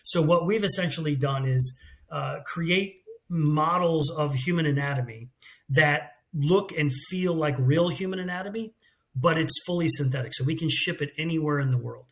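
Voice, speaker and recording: A man's voice: a little high, moderate pace. A middle-aged American man, a company CEO, explaining his work fluently in a business interview. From United States. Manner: fluent, explanatory, with some restarts.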